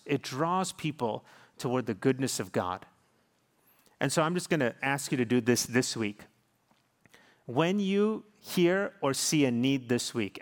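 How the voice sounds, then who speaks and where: A man in his thirties preaches to his congregation, his voice sitting in an ordinary middle register. He tells stories and prays in an earnest, pastoral manner. A long reverb trails after him, as in a large church hall. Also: mid-range voice. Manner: warm storytelling, earnest pastoral delivery. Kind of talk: sermon with closing prayer.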